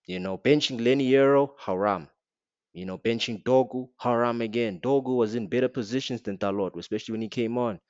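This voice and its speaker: A man's voice: medium pitch, fairly quick, darkish tone, dry recording, dull audio. A man with an African accent giving a blunt, slangy, mocking rant as a football fan reviewing a match. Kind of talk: casual rant to camera.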